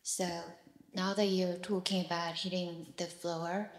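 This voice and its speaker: Soft-pitched Korean woman, echoey room. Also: level tone, a little slow. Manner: hesitant, searching for words. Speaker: retreat participant asking a question.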